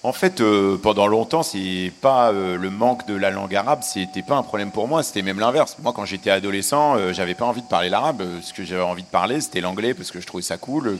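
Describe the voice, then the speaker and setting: A man's voice: lowish voice, long reverb tail, slight background noise. A middle-aged man, a journalist and author, answering interview questions in a reflective, self-deprecating way.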